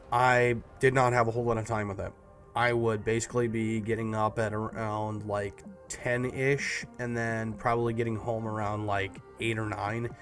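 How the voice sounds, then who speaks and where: Congested guy rambling a youtube update, lots of ums, some music playing softly behind. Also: mid-range voice. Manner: rambling, many ums and restarts, ranting. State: stuffed up, nasal from a cold. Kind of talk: casual personal update vlog.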